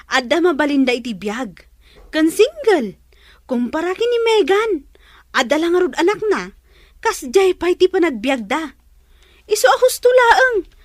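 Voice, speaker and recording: A woman acts a disapproving younger sister in an audio drama. Her voice is high and recorded very dry. She is chatty, nosy and full of questions. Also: no echo. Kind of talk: Ilocano audio drama acting.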